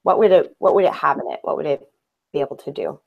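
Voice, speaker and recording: Lower-voiced woman asking patient questions. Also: animated, fast talker, gravelly, narrow, tinny audio. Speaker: software team researcher interviewing a user. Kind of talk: user research interview.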